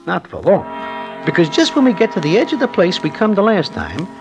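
An actor playing a streetwise New gambler sidekick who narrates in stiff formal slang, in an old radio drama style. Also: male voice, high voice, wildly swooping pitch, brisk, heavily acted. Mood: wry, reflective. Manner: stiff formal slang, present tense, no contractions. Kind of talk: 1940s-50s radio drama narration and acting. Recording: old radio recording with background music.

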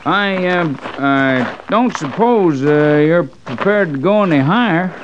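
A man's voice: a little high, lively intonation, slow, background noise. A man playing a sly, grasping frontier settler who talks in a hesitant, wheedling rustic way in an old radio western. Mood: grudging, haggling. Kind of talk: 1940s-50s radio western acting. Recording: dull old radio recording.

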